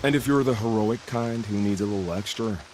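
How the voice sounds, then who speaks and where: An actor playing a cocky ex-soldier mercenary in a video game, who savors killing for sport in a smug, theatrical way. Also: male voice, lowish voice, a little fast, darkish tone, soft background music, lightly acted, poor audio. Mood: sly, relishing. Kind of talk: video game character acting.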